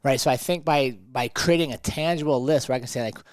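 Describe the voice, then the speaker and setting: A man's voice: mid-range voice, quick pace, no room sound, clean studio sound. A man talking conversationally as a motivational podcast host and coach, emphatic and fond of rhetorical questions.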